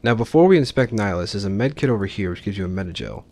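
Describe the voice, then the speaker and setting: A man's voice: fairly low pitch, lively intonation, rapid-fire, a little mellow, a little hiss or hum. A man giving informal, explanatory commentary as he hosts a let's play of a video game. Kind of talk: casual gameplay commentary.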